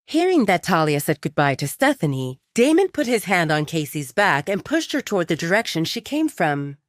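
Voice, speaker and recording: A woman's voice: fairly low pitch, sing-song, moderate pace, no room sound, studio quality. A woman narrating a scripted romance story for an audio drama.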